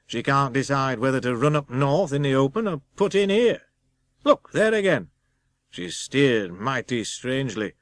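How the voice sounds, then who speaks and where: Man acting a slow, emphatic old coastguard. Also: mid-range voice, no echo, natural voice. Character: old salty English coastguard, slow, weatherwise and foreboding. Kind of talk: dramatised audiobook reading.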